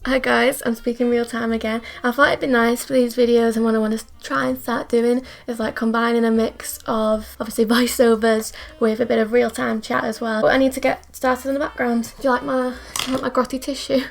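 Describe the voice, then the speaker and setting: A young woman with a northern English accent talks casually about her art in a chatty, self-deprecating way. Her slightly high voice has a gravelly, croaky edge, since she has just woken up and has retainers in. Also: level tone, brisk, hardly any pauses. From England. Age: young adult. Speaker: art vlogger.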